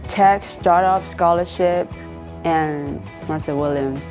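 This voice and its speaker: A woman and scholarship recipient introducing herself carefully and deliberately. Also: fairly low pitch, darkish tone. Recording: music playing underneath.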